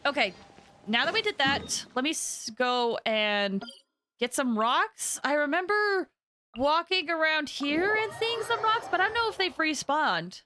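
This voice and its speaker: High, bubbly woman livestreaming a game. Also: measured pace, slight background noise. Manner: bubbly, expressive, thinks aloud. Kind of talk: casual gameplay chat with viewers. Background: game music.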